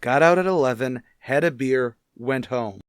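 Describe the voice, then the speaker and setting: A man's voice: medium pitch, normal speed, clean tone, dry recording, clean studio sound, lightly acted. An actor playing an evasive, easily exasperated male murder suspect in a radio crime drama, answering defensively and testily. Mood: testy, evasive. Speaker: voice actor in an audio police drama.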